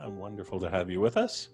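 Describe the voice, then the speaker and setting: A man's voice: fairly low pitch, gravelly, darkish tone. A man hosting a live-streamed show, chatting casually and jokingly as he introduces his guests. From Canada. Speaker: host. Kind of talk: casual livestream chat.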